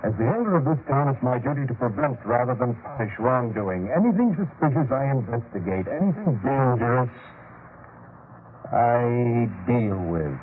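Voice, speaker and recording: An actor playing a haughty, suspicious town elder, questioning sternly and sarcastically in a 1940s radio drama. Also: male voice, mid-range voice, sing-song, normal speed, lightly acted. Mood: probing, suspicious. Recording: tinny, very noisy 1940s radio recording.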